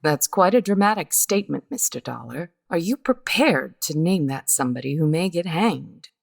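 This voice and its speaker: Weary radio-drama widow: low, gravelly fry, guarded and hesitant, melodramatic. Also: female voice, lively intonation, moderate pace, heavily acted. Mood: defensive. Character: guarded, weary 1950s widow under suspicion. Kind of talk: 1950s radio drama acting.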